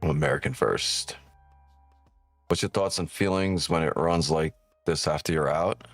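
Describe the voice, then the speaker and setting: A man's voice: very deep voice, normal speed, no echo, soft background music. A man born in Ukraine hosts a live trading stream, chatting casually and with profanity as he reads chat aloud, often tagging on "right?".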